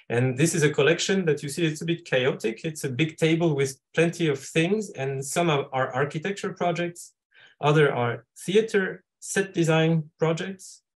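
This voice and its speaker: A man from Switzerland speaking as a guest architect in an illustrated online lecture, reflective and halting as he thinks aloud. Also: on the high side. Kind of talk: illustrated lecture over slides. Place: indoors, online video call.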